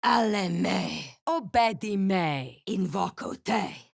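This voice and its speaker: A female video game mage calling out spells. Her voice is gravelly at the edges and swoops widely in pitch, with slow, commanding, declamatory phrasing in a dry, close recording. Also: lively intonation, no echo, exaggerated voice. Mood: intoning, commanding. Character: female spellcaster chanting commanding magical incantations. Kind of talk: video game spell calls in mock Latin.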